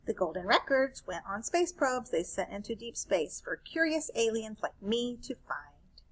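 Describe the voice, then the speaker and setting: A woman's voice: a little high, sing-song, a little slow, husky, exaggerated voice. A woman reading a children's picture book aloud, voicing a curious, cheerful space alien who explains things eagerly. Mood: informative.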